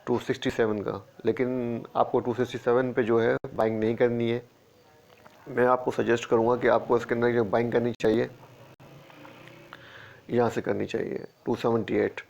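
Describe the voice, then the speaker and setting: A man's voice: mid-range voice, creaky, darkish tone, dull audio. A man with a sore throat, steadily walking through stock charts and repeating price levels as a market analyst. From Pakistan. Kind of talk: screen-share chart walkthrough.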